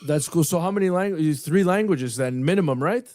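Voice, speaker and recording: A man's voice: a little high, fairly quick, a little mellow, no echo, clean studio sound. A man hosting a podcast, chatting casually and enthusiastically, asking long, rambling questions. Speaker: podcast host.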